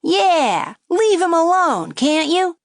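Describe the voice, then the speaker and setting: A woman voicing a rude, brash male ventriloquist's dummy who jeers loudly at everyone around him. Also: extremely high pitch, big pitch swings, slow, vocal fry, no echo, studio quality, pitched above the performer's own voice, full character voice. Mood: taunting. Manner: loud, taunting, jeering.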